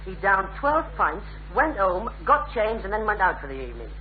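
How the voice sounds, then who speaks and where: A man's voice: high-pitched, sing-song, moderate pace. An actor playing a cheeky, scheming young Northern English working-class man in a radio sitcom, with indignant, needling banter. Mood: wistful. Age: young adult. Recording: narrow, noisy old radio recording.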